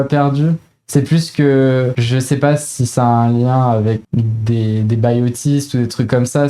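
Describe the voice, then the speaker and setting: Young woman guest, 20s, casual slangy chat full of hesitations, mellow low timbre. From France. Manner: hesitant, many fillers, youthful slang.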